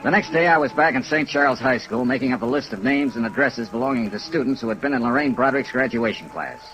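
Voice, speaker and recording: An actor playing a dry, persistent insurance investigator and narrator in 1950s radio style, wry, clipped and matter-of-fact. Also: male voice, medium pitch, fast, a bit bright, soft background music, close to an everyday voice. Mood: matter-of-fact narrating. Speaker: lead character and narrator. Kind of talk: 1950s radio detective acting. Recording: noisy vintage radio recording.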